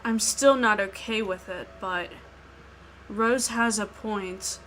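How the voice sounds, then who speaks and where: A woman's voice: medium pitch, slow pace, fairly bright tone, slight background noise, rough recording. A woman hosting a gaming video, reading out a visual novel's narration with casual asides.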